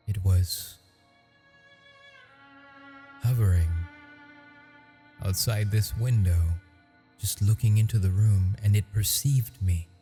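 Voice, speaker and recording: A man voicing a gentle, teasing male ghost who speaks softly and intimately in an ASMR audio roleplay, chuckling often. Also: fairly low pitch. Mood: wondering.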